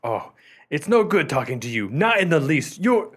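Male, animated and on the high side: man playing a pompous, easily affronted gentleman, theatrical period radio drama. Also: moderate pace. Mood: indignant. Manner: huffy, formal, theatrical. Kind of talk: period radio play acting.

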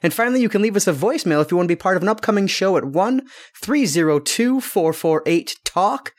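A man with a high, lively voice co-hosting a podcast. He is upbeat and chatty and rattles through plugs and web addresses. He is just getting over a cold. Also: fast talker. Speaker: podcast co-host. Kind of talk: casual podcast chat and sponsor reads.

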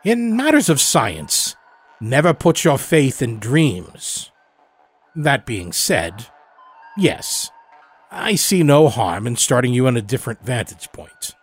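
Man playing a formal, grave elderly doctor, raised pitch. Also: big pitch swings, slow, slightly rough, soft background music, full character voice. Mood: grave, warning. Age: older. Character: elderly evasive doctor running a secret time-travel clinic. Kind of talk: dramatic audio horror storytelling.

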